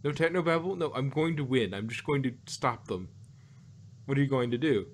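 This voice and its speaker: Male co-host, casual podcast review, rambling delivery full of restarts, mid-pitched voice. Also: a little fast, slight background noise. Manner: rambling, many restarts and quoted asides.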